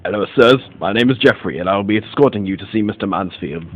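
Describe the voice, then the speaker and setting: A man's voice: lowish voice, quick pace, breathy edge, phone-quality sound. A man playing a formal, polite butler in a radio mystery. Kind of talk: radio mystery play acting.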